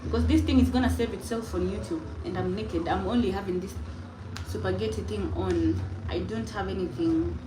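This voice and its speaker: Kenyan woman, young, emphatic livestreamer, echoey room, clear room noise behind. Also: medium pitch, moderate pace. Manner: defiant, emphatic, repeats herself. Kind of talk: casual livestream monologue. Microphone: phone.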